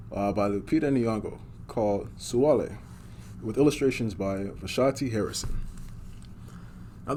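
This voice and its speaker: A man's voice: lowish voice, darkish tone, slight background noise. A man reading a picture book aloud to children in a superhero persona, adding small asides as he goes.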